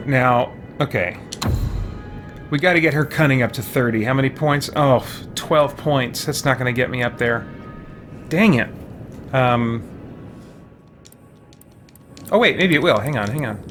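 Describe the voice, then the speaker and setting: A man giving casual let's play commentary, muttering to himself as he thinks out loud, with mild exclamations. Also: medium pitch, slow pace, pauses often. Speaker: gaming video host. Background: game music.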